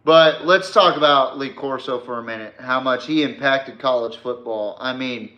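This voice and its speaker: A man's voice: medium pitch, moderate pace, sharp tone. A man hosting a casual sports podcast, talking informally to a stream audience in a rambling, emphatic way. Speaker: podcast host. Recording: echoey room.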